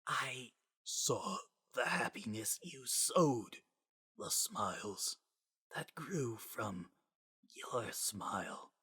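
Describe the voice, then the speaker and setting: A man voicing a dying skeleton doctor in a story reading, gentle and solemn, with a very faint, breathy, halting whisper. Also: mid-range voice, animated, exaggerated voice. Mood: gentle, proud. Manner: slow, halting, solemn delivery. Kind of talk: dramatic fan-fiction reading.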